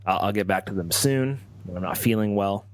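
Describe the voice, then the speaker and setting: A man with a low voice plays a tabletop role-playing game, telling his character's story in the first person. He feels his way haltingly, doubling back on words. The sound is dry, with very little room to it. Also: no room sound. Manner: halting, often repeating words.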